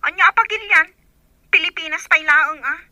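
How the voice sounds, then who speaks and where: A naive young Ilocano-accented woman full of grievance, acted by a woman in amateur audio drama. Her voice is extremely high and sharp, recorded very dry. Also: normal speed, no room sound, heavily acted. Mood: sheepish, aggrieved. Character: naive, aggrieved young woman, stranded and wanting to flee.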